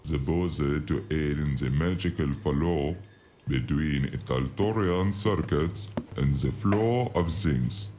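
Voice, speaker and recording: A man playing a gruff but caring underworld fixer in an audio drama. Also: extremely low pitch, slow, noisy background. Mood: stern.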